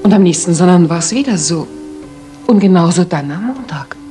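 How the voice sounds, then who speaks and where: An actress in a German radio drama playing an anxious young music teacher, polite, hesitant and breathy in places. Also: female voice, lowish voice, expressive, fairly quick, mellow, lightly acted. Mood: earnest, polite. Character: anxious young female music teacher seeking a detective's help.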